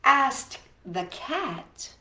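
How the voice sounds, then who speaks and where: Female kids' storytime presenter: high voice, slow pace, encouraging read-aloud and sing-along delivery. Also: echoey room. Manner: animated, encouraging, addressing children and a companion directly. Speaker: children's storytime host.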